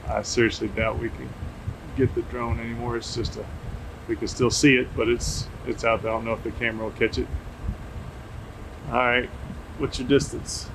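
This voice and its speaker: A man's voice: medium pitch, normal speed, pauses often. A man hosting a casual field test, talking off the cuff outdoors into a camera microphone. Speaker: drone tester and video host. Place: outdoors under an open shelter at an airfield. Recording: noisy outdoor camera audio, steady ambient hum.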